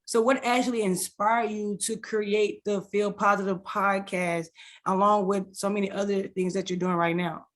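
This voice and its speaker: A woman hosting a podcast with lively, energetic talk that repeats phrases and leads a prayer. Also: medium pitch, moderate pace. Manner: energetic, hyped, repeats phrases, stumbles on names. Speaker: podcast host.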